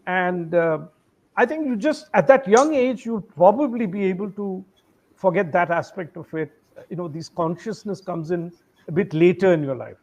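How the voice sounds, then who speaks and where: A man, a guest trainer giving advice in an interview, measured and often pausing with "uh". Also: a little high, dull audio. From India. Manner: measured, with frequent "uh" pauses.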